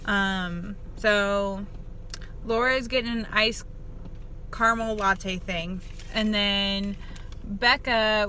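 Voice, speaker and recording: A woman's voice: mid-range voice, pushed and bright. A woman, chatting informally to camera as a vlogger in a car. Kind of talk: casual vlog chat to camera. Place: inside a car. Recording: faint car and ambient noise.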